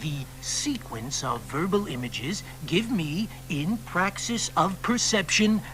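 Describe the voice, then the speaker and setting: An earnest young admirer. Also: male voice, sits high, slow pace. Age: young adult. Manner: earnest, flattering, eager. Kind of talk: radio play acting.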